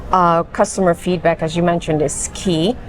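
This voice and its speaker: A woman's voice: fairly low pitch, fairly even intonation, a little hiss or hum. A woman in her forties from Colombia, raised in New York, with a Long Island accent, talking casually as an interview guest and readily agreeing. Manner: friendly, agreeable, quick affirmations. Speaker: guest, education manager.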